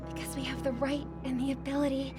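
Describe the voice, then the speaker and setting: An actress playing a kind, graceful young singer in a video game, speaking politely and with composed sincerity. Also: female voice, sits high, flat delivery, fast, natural voice. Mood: hopeful, resolute. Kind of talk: video game cutscene acting. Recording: loud music under the voice.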